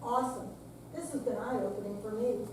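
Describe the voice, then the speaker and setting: A woman's voice: on the high side, moderate pace, close to an everyday voice. An older woman in a staged reading, playing a curious, supportive friend with an emphatic, inquisitive way of speaking. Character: curious, supportive female friend asking blunt questions. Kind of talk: scripted dramatic reading. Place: senior center room, live performance. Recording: echoey room, distant mic, clear background noise.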